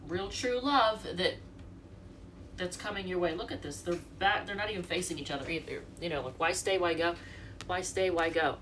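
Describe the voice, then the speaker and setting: A woman's voice: lowish voice, fairly bright tone, far from the mic, a little hiss or hum. A congested woman from the United States doing a casual, chatty tarot reading and talking straight to her viewers. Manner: chatty, rambling, talks to viewers directly. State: congested from allergies. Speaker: tarot reader.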